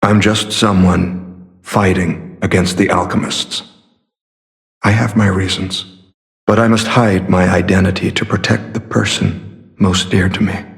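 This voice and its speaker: A man playing a secretive, earnest masked warrior in a video game, speaking in a measured, formal and solemn way. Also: low-pitched, level tone, vocal fry. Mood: grave, resolute. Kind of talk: scripted game character dialogue.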